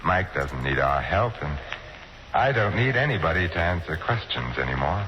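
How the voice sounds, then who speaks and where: An actor playing a suave, wisecracking adventurer in 1940s radio acting, wry and teasing in tone. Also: male voice, low-pitched, big pitch swings, normal speed. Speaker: lead in a radio drama. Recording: muffled old radio recording with hiss.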